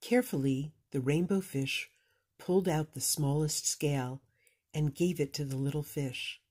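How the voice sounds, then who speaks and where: A woman reading a children's storybook aloud with expressive narration. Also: sits low, unhurried, slightly rough. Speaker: storybook reader.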